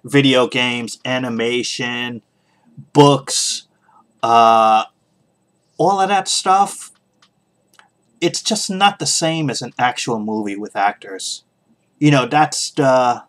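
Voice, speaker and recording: A man hosting a podcast, giving casual solo opinions in a halting way, pausing often as he thinks aloud. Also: mid-range voice, fairly bright tone. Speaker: podcast host.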